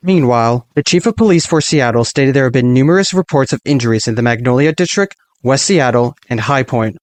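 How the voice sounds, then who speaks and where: A man's voice: medium pitch, fairly quick, no echo, natural voice. A man acting as an earnest local radio news anchor, reading a bulletin. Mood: serious.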